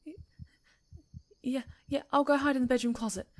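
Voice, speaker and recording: A woman playing a young female character in an ASMR roleplay audio drama, with an expressive, dramatic delivery. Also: sits high, normal speed, close to an everyday voice. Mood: panicked, frantic. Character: friendly young woman camper turned panicked, secretly sinister stalker.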